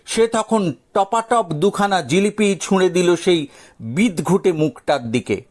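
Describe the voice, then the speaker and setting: A man narrating a scripted audio story dramatically, with theatrical, suspenseful pauses. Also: high voice, lively intonation.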